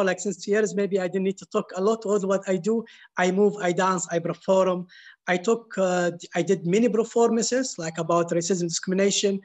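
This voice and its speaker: A man, an artist and former journalist, speaking on a panel in halting English. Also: high-pitched. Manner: searching, halting non-native phrasing. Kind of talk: panel talk.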